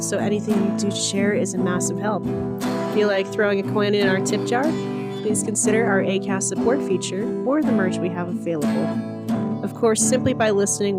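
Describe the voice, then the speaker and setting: Woman reading podcast credits over loud guitar. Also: medium pitch. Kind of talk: scripted podcast outro narration. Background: loud plucked guitar music.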